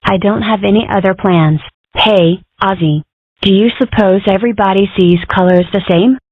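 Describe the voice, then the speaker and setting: A woman's voice: mid-range voice, normal speed, no room sound, lightly acted. Woman playing an earnest cartoon girl, muffled recording. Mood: playful. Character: opinionated, philosophical ten-year-old cartoon girl. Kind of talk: comic strip dub. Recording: muffled band-limited audio.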